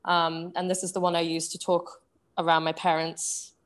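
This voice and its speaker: Thoughtful young woman, lowish voice. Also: flat delivery, normal speed. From United Kingdom, raised in Singapore. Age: 20s. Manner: thoughtful, hesitant, many fillers. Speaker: guest, performer. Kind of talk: casual interview.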